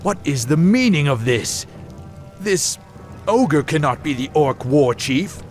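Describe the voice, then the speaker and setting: Sneering human assassin, theatrical, very animated intonation, slow delivery, fantasy game villain. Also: male voice, fairly high pitch, soft background music. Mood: scornful. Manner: contemptuous, theatrical. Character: haughty villainous male human assassin posing as emissary. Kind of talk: fantasy video game voice acting.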